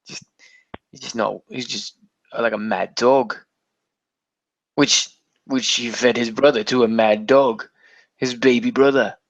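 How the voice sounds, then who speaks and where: A man's voice: medium pitch, fairly even intonation, unhurried, pauses often, no echo. A man's voice in a slightly dull, lo-fi recording with little room sound. He is a podcast co-host who chats casually in a theatrical way, keeping up a put-on accent. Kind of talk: casual banter about TV and video games.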